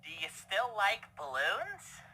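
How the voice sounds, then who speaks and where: A woman's voice: medium pitch, very animated, takes it slowly, bright, forceful tone, heavily acted. A woman voicing a playful male party pony turned killer in an audio drama, with a taunting, mock-friendly, sinister manner. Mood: mock-friendly menace. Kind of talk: audio drama fan fiction reading. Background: music.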